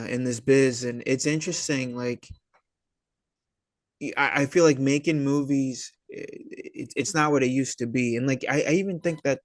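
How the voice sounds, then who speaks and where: A man with a medium-pitched voice talks casually as an interview guest. His delivery is halting and hesitant, full of street-casual slang. The sound is dry, with no room around it. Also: no echo. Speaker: filmmaker and actor, interview guest. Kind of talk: casual chat.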